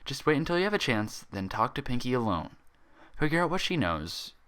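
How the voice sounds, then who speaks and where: A man's voice: mid-range voice, very animated, a little fast, fairly bright tone, smooth, pitched above the performer's own voice. A man voicing a bookish, irritable young female pony in a story reading, strained and sarcastic. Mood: self-calming. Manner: strained, self-controlled, sarcastic. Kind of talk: dramatized fan fiction reading.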